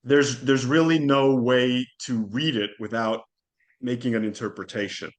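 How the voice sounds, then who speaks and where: A man whose first language is Hebrew, speaking as a podcast guest in a thoughtful, halting way with frequent restarts. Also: medium pitch, steady tone. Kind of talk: conversational interview.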